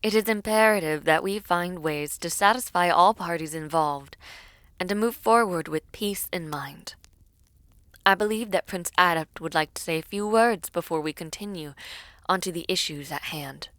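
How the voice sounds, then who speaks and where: A woman playing a composed, caring young princess in an audio drama, soft and earnest, often slipping into a breathy hush. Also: lowish voice, normal speed, dry recording, natural voice. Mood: formal, poised. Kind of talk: audio roleplay drama acting.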